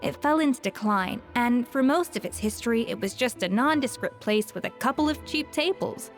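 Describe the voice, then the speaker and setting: Proud, high-voiced chef. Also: female voice, expressive, moderate pace, faint music behind. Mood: lecturing. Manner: haughty, precise, lecturing. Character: proud, exacting female chef, self-assured and blunt. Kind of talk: video game voice acting.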